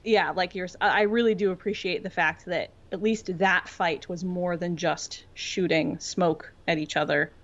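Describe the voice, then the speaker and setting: A woman's voice: fairly low pitch, steady tone, normal speed, hardly any pauses, muffled recording. A woman guesting on a podcast, chatting casually and jokily like an enthusiastic fan. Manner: informal, jokey, enthusiastic fan talk. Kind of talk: casual pop-culture chat.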